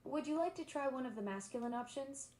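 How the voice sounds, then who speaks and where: A woman's voice: high-pitched, lively intonation, fast talker, darkish tone. Bubbly female voice assistant, roomy echo. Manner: bubbly, enthusiastic, eager to please. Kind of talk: upbeat synthetic assistant chat.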